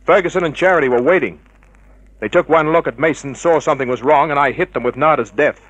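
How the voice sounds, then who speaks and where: An actor playing a tough, cynical private investigator in a 1950s radio crime drama, clipped and hard-boiled. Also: male voice, fairly high pitch, quick pace, a bit bright, dry recording, dull audio. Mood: matter-of-fact. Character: tough, cynical male private investigator narrating his case.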